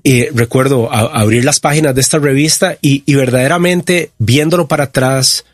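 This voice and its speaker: A man in his fifties with a Costa Rican accent, giving personal testimony as an interview guest in a reflective, halting way. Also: medium pitch, no echo, studio quality. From Costa Rica. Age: 50s.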